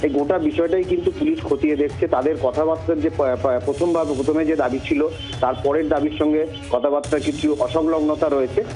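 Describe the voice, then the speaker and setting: A man with a West Bengal Bengali accent giving an unscripted field report by telephone, halting, repetitive and emphatic. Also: on the high side, fairly even intonation, mellow, faint music behind, low-quality recording. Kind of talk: unscripted live news report. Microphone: telephone link to the studio.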